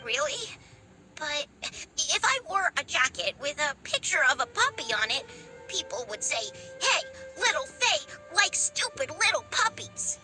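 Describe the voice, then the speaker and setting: A woman's voice: sits high, sing-song, a little slow, music in the background. An actress voicing a small, earnest little girl in a video game, childlike, blunt and a bit hesitant. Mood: blunt, shy.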